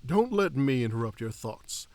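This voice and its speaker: A man playing a humble, troubled elf king and father in a fantasy audio drama, reflective and gently formal. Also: mid-range voice, big pitch swings, moderate pace, no echo, natural voice. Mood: courteous.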